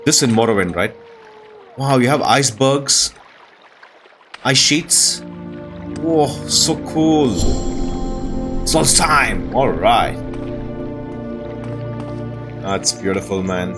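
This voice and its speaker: A man's voice: medium pitch, animated, slow, pauses often, music in the background. A man giving casual gameplay commentary, talking to himself and the game's characters with awestruck exclamations. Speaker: gaming video host.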